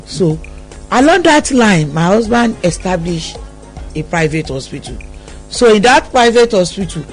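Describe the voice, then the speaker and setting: A woman's voice: mid-range voice, big pitch swings, unhurried, soft background music, rough recording. A middle-aged woman with a Nigerian accent telling personal stories as a radio interview guest, with an emphatic manner. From Lagos, Nigeria.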